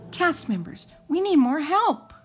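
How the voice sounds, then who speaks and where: A woman's voice: high voice, sing-song, a little slow, soft background music. A woman voicing a harried pencil in a storybook, apologetic and matter-of-fact as it instructs its crayon cast. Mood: directing.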